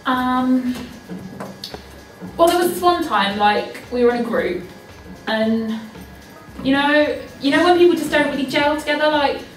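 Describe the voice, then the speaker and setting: A woman's voice: high voice, lively intonation, unhurried, long pauses. A woman talking informally, giving tips and answers in the manner of a student. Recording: echoey room, distant mic, faint music.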